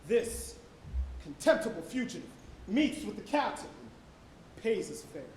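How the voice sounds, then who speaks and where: Man declaiming wildly in an echoey hall. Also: very animated. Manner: declamatory, slow, swinging from hushed to shouting. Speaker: stage actor preaching a sermon. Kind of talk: theatrical dramatic sermon monologue. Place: college auditorium stage. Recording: echoey auditorium with loud rumbling noise.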